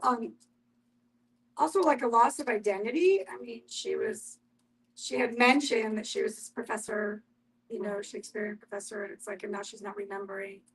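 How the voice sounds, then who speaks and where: High-voiced woman leading a group discussion. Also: normal speed, low-quality recording. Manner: questioning, inviting responses. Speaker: discussion facilitator.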